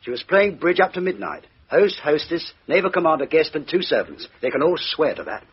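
Very raspy British police subordinate. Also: male voice, on the high side, lively intonation, a little fast. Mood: brisk, reporting. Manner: deferential, to the point. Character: dutiful male English police subordinate, brisk and deferential. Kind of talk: 1950s radio mystery acting. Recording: muffled 1950s radio drama recording.

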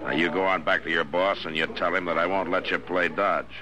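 An actor playing a firm, level-headed American town marshal, terse and authoritative, in a 1950s radio western. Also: male voice, sits low, fairly even intonation, brisk, highs cut off, lightly acted. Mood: firm, stern. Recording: noisy old radio broadcast recording.

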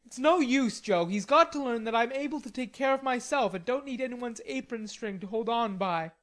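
Stubborn, hot-tempered teenage lad, played by a female reader; storybook dialogue, emphatic, higher-pitched delivery. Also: normal speed, natural voice. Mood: indignant. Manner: emphatic, protesting. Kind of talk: audiobook character reading.

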